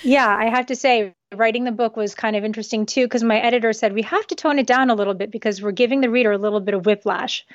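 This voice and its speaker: A woman in her forties from the US East Coast, Japanese, recounts things in a chatty, matter-of-fact way. Her voice is on the high side. It comes through a dull remote connection with no room sound. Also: fairly even intonation, quick pace, hardly any pauses, dry recording. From United States, East Coast. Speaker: guest author. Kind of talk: casual interview.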